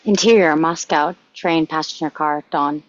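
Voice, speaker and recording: A woman's voice: low voice, a little slow. A woman reading scene headings and stage directions as scripted screenplay narration. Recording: roomy, dull muffled microphone sound.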